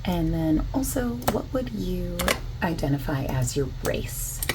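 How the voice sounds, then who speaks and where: A soft-spoken woman doing an ASMR roleplay as a survey interviewer, asking questions in a gentle, casual, reassuring way. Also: on the low side, sing-song, unhurried. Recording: constant keyboard typing noise. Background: computer keyboard typing and clicking.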